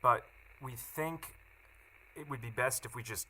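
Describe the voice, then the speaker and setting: Audio drama: polite, evasive male functionary, extremely bright and harsh, hesitant, faint background noise. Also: mid-range voice, a little slow, rough recording. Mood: apologetic, awkward. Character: awkward, apologetic male company official, evasive and callous. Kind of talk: naturalistic audio drama acting.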